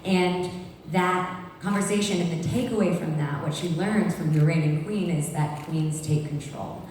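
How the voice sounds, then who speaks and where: An actress answering at a press conference in lively, quick bursts. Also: female voice, lowish voice, slight background noise. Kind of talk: panel answers at a press event. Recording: echoey hall, voice from far off.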